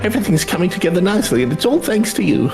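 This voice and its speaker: An eager young British lad in a video game, high-voiced and very animated. He chatters in cheeky, colloquial speech, brimming with enthusiasm, over a light music bed. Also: racing, gravelly, exaggerated voice. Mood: proud, chatty. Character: eager, cheeky young man, excitable hired helper.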